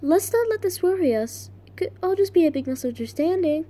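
Woman acting high-voiced earnest cartoon girl. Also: animated, a little fast, dark tone. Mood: reassuring. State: sick with flu, voice cracking.

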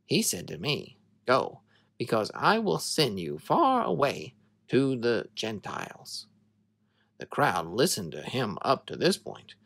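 A man reading a Bible story aloud in an expressive, dramatic storytelling manner. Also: mid-range voice, slow pace, vocal fry, dry recording.

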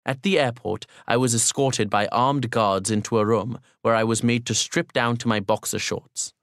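A courteous young Pakistani man, played by a male audiobook reader, confides his story in a mid-pitched voice. He speaks formally and deliberately, pausing with care as if to a single listener. The sound is dry, with no room echo. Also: steady tone, a bit bright, natural voice. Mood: reflective, confessional. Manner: formal, polite, measured, deliberate pauses. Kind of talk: first-person audiobook narration as dramatic monologue.

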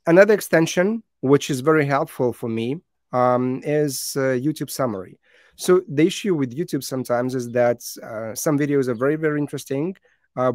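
Man, thirties, Lithuanian native speaker, conference presenter, halting delivery, middle pitch, dry close-mic sound. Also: dry recording. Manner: halting, many fillers and restarts. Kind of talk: conference talk with slides.

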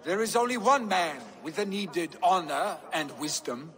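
A brash young Viking warrior from a video game drama. His voice sits a little high for a man and moves slowly and deliberately. He speaks with earnest, heartfelt weight, like a jarl's son. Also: lively intonation, a bit bright. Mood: solemn, proclaiming.

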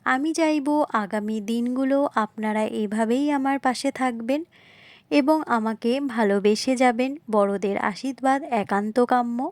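High-pitched woman narrating a recipe. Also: normal speed, no room sound. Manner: polite, repetitive explaining, addressing viewers directly. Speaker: home cooking video host. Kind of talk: recipe narration, instructional voice-over.

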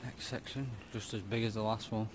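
A man's voice: lowish voice, steady tone, fairly quick. Guy mumbling about an empty factory, camera mic, bit of hiss. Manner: mumbled, offhand remarks. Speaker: explorer filming. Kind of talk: casual walkthrough commentary. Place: large abandoned factory hall, indoors. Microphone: handheld camera mic.

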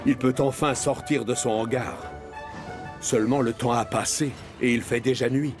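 A man's voice: mid-range voice, steady tone, moderate pace, close to an everyday voice. A man narrating a children's cartoon like a storybook. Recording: loud background music.

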